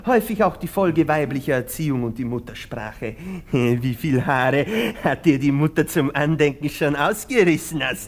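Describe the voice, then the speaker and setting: A man playing a pompous, callous doctor who lectures his students with theatrical, condescending exclamations in a radio drama. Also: a little high.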